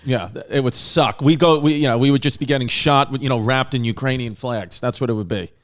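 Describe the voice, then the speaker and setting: A man with a New York accent hosting a comedy podcast, talking in a rambling, jokey way with quick asides. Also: medium pitch. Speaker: podcast host. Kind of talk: casual comedic chat.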